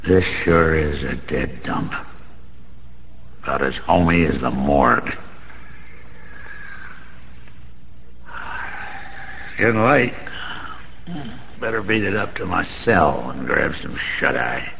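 An actor playing a garrulous small-time American gambler in a radio play, slangy, wisecracking and lonely, with a gravelly voice. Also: male voice, very deep voice, lively intonation, a little slow, long pauses, a bit bright, full character voice. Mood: restless, needling. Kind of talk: theatrical radio-play monologue. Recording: long room reverb, faint noise.

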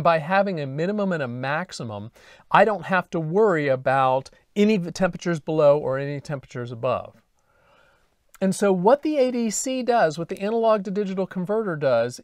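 Man, fifties, fairly high pitch, deliberate lecturing delivery, emphatic, very dry studio recording. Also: dry recording. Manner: deliberate, emphatic teaching with rhetorical check-in questions. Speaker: instructor. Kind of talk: explanatory lecture to camera. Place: indoor studio with dark backdrop and lightboard. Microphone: lapel mic.

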